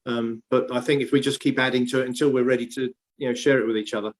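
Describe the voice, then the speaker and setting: A man's voice: mid-range voice, steady tone, fast talker. Easygoing man chatting on a call. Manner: easygoing, laughs often, rambling. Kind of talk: video call chat.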